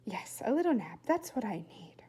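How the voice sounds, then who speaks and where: A woman's voice: on the high side, very animated, moderate pace, warm tone, breathy edge. A woman reading a storybook as a mother with a strange, wooden, strained way of speaking. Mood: brittle.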